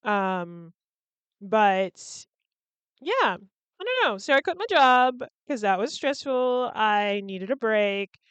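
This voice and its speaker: A woman's voice: medium pitch, sing-song, slow pace, fairly bright tone, clear, resonant tone, no room sound. A young woman hosting a solo podcast, chatting in a rambling, candid way with lots of "like" and some swearing. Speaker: podcast host.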